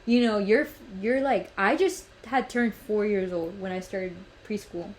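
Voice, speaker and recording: A woman's voice: mid-range voice, measured pace, poor audio. A woman of nineteen whose first language is Spanish, hosting a casual podcast chat and rambling from topic to topic with many "like"s and "literally"s. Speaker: podcast host.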